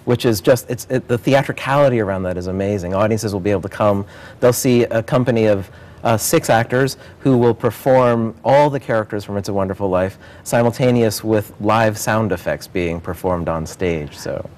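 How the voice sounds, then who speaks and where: A man with a fairly low voice talks as a theater director being interviewed. He sounds earnest and enthusiastic, explaining his work in a conversational, promotional way. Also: moderate pace, darkish tone. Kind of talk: promotional interview about a stage production.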